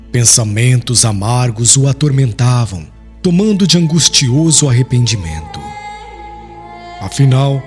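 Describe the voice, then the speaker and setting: A man with a Brazilian accent reading a dramatic audiobook narration in a solemn, literary way. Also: mid-range voice. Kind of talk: scripted dramatic storytelling narration.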